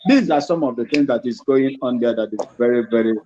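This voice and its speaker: A man's voice: mid-range voice, brisk, mellow, slight background noise. Grave man on a muffled line. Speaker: caller. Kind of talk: casual call-in chat.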